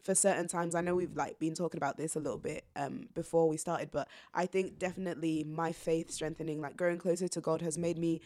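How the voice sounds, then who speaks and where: Low-voiced young woman, thoughtful guest. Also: fairly even intonation, a little fast, few pauses, a little mellow. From born in Zambia, raised in England. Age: young adult. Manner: thoughtful, earnest, says "like" often. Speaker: podcast guest. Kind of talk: casual reflective interview.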